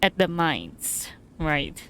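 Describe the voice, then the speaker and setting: A woman gives casual, off-the-cuff game commentary. Her voice sits a little low and moves slowly and hesitantly, with faint noise behind it. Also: sing-song, fairly bright tone. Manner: hesitant, musing, trailing repetitions. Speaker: game streamer.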